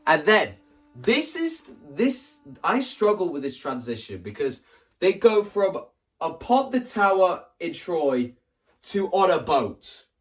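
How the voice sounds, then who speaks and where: Young male creator, lighter-pitched voice, chatty and animated explainer delivery, recorded a little away from the mic. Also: distant mic. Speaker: video creator presenting a stage play concept. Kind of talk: casual explanatory monologue.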